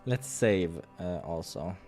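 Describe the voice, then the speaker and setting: A man reading a visual novel aloud as a playthrough host, expressive in the storytelling with casual asides. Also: low-pitched, slow, faint music behind.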